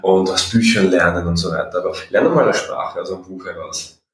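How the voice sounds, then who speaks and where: A man who trains people in NLP, talking persuasively and directly to the listener in a relaxed conversation. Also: deep voice, a little fast, far from the mic. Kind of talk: casual podcast conversation. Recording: roomy echo, away from mic.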